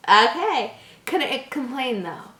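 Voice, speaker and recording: Animated woman in an echoey room. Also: high voice, slow pace. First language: English. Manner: giggly, stumbles over words. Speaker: channel host. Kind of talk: reading a horror story aloud with joking asides.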